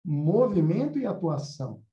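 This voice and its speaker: A man's voice: high voice, very animated, normal speed, warm tone. A Brazilian man teaching and hosting in a casual, explanatory way. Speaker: host, animation course teacher. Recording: roomy, slightly dull sound.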